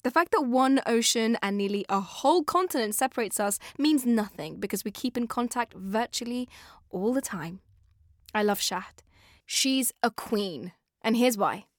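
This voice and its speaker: A British woman narrating a scripted podcast as host, in an expressive, conversational way that speaks straight to listeners. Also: on the high side, normal speed, dry recording, studio quality. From born in Afghanistan, moved to the UK.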